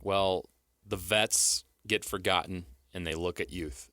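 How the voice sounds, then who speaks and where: A man's voice: low-pitched, steady tone, slow pace, pushed and bright, no room sound, studio quality. A man co-hosting sports radio, giving assured explanations and answering his own questions. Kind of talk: sports talk analysis. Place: radio studio.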